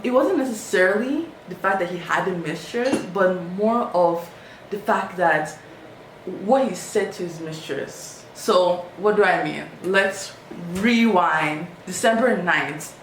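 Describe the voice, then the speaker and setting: A woman's voice: lowish voice, distant mic. A woman talks through a true-crime case in loose, chatty storytelling, her voice lifting when she quotes people. She sits well back from the mic in a very echoey room, with a faint hiss behind her. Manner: chatty, animated, quoting people in raised voice. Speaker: podcast host.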